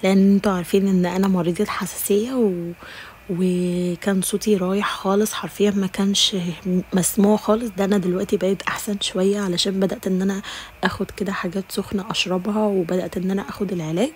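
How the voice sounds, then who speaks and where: A woman's voice: medium pitch, little pitch movement, fast talker, a little mellow, slight background noise. A woman with an Egyptian accent narrating a home routine vlog, affectionately calling her viewers dear sisters, her voice a little tired. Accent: Egyptian Arabic. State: slightly tired voice. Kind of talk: casual voice-over narration.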